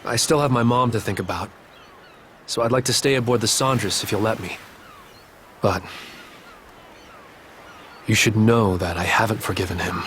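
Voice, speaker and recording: An actor playing a somber, haunted young man in a video game cutscene, speaking in an earnest, subdued and measured way. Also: fairly low pitch, a bit bright, slight background noise, close to an everyday voice. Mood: contrite, resolved.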